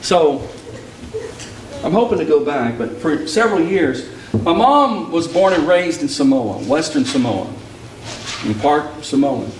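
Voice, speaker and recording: Male preacher from Texas, higher voice, emphatic sermon delivery, roomy echo, distant mic. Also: animated, a little slow, slight background noise. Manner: emphatic, rising preaching cadence. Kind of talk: sermon with personal storytelling. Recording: echoey hall picked up from a distance.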